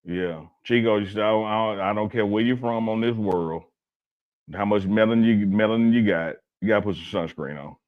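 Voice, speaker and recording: A man's voice: on the low side, brisk, highs cut off. A man from Alabama, co-hosting a casual sports chat, joking and teasing his partner. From Selma, Alabama. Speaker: co-host.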